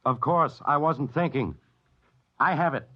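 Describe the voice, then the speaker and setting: A man's voice: a little high, unhurried, lightly acted. A man acting a responsible, strained captain of a crippled spaceship in a 1950s-style radio drama. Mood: urgent. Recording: muffled, noisy old-time radio broadcast.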